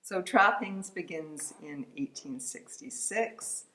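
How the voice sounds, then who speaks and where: Low-pitched woman author presenting her novel. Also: lively intonation, slow, sharp tone, low-quality recording. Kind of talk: informal spoken introduction to a book.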